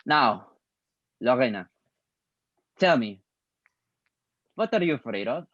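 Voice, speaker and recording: A calm mentor lectures in a measured, deliberate way, probing gently, as a man reads him at a table read. The sound is dry and a bit dull, with no room around it. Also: medium pitch, no echo, exaggerated voice. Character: calm older male acting teacher, probing mentor.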